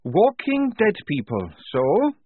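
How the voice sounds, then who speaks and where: A man's voice: high voice, huge pitch swings, slow pace, no room sound, phone-quality sound, pitched above the performer's own voice, full character voice. A man voicing a blunt, sardonic young woman soldier in an audiobook story. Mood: subdued defiance.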